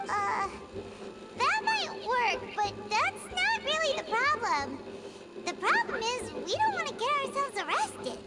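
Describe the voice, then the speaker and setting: A woman voicing a tiny floating childlike companion in a video game, chirpy, excitable and easily spooked, with a squeaky voice. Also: measured pace, over loud music, full character voice, rough recording. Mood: eager, scheming. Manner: refers to herself in third person, exclaiming. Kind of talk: video game character acting.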